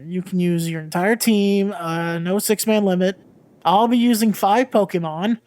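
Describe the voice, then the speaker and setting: A man's voice: high-pitched, fairly even intonation, unhurried, a little mellow, dry recording. A man playing a laid-back gym leader who runs a motel, casual and reassuring, in a tabletop roleplay. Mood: casual, unbothered.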